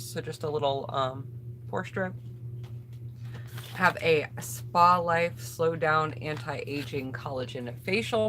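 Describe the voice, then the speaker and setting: Chatty lower-pitched woman livestreaming casually. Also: fairly bright tone, slight background noise. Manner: chatty, greets viewers by name, thinks aloud. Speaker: beauty vlogger hosting a livestream. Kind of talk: casual livestream chat and product unboxing.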